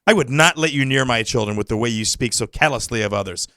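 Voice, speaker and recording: A man's voice: medium pitch, fast talker, pushed and bright, dry recording. A man co-hosting a comedy show, bantering and reading aloud in a teasing, exclamatory, casual way.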